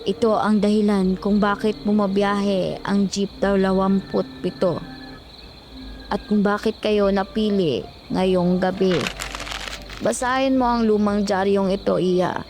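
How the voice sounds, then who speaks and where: A solemn old ghost grandmother, played by a woman in a horror audio drama. She speaks gravely and deliberately at a middling pitch, over music. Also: steady tone, close to an everyday voice. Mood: grave, urgent.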